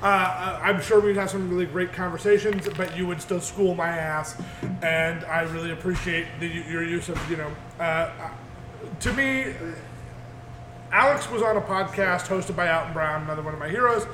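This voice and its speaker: A man's voice: high-pitched, steady tone, moderate pace, sharp tone. A man co-hosting a casual group podcast, rambling with lots of fillers. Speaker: podcast co-host. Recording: echoey room with background noise.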